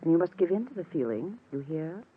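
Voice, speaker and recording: A woman's voice: fairly low pitch, very animated, fast talker, breathy edge. An actress playing a jealous, coldly commanding young woman with a biting manner in old-time radio melodrama. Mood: coaxing, controlling. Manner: sharp, biting. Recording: muffled, noisy old radio recording.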